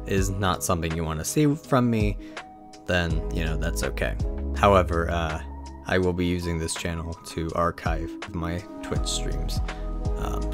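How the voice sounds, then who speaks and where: Very low-voiced sick man chatting. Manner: apologetic, low-key. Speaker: video creator. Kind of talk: casual outro chat. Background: music.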